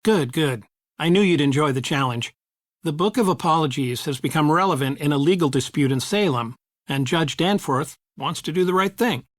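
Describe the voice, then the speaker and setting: A man's voice: on the high side, normal speed, no echo, studio quality, lightly acted. A man acting as a kindly, businesslike middle-aged father in a video game. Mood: pleased, apologetic.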